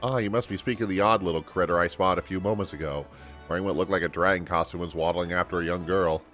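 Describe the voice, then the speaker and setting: A man's voice: low-pitched, steady tone, fast talker, fairly bright tone, soft background music. A man voicing a helpful, concerned townsperson in a fantasy video game. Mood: apologetic.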